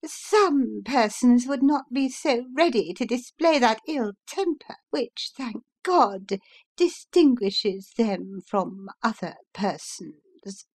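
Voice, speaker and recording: A woman voicing a spiteful, sharp-tongued society lady who speaks in a snide, cutting way. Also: on the high side, animated, takes it slowly, pitched above the performer's own voice, heavily acted.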